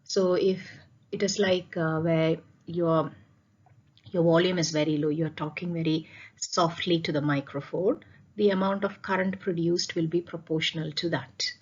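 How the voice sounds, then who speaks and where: A woman's voice: lowish voice, fairly even intonation, moderate pace, husky, lo-fi sound. A woman teacher explaining a lesson over slides, repeating key points and posing questions as she goes. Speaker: physics teacher.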